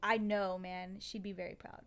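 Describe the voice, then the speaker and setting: Female co-host for casual interview show. Average pitch, supportive, affirming conversational tone. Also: flat delivery, normal speed. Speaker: podcast co-host.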